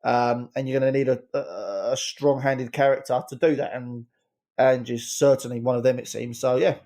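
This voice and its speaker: Football podcast guy, mellow voice, rambles on with strong opinions. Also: mid-range voice, fairly even intonation. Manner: opinionated, fast rambling with restarts. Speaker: podcast regular, head coach segment. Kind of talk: casual football podcast chat.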